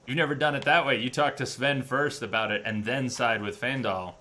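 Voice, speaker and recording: A man's voice: medium pitch, steady tone, a little fast, pushed and bright. A man streaming a video game with casual commentary, thinking aloud as he plays. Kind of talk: casual live playthrough commentary. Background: game music.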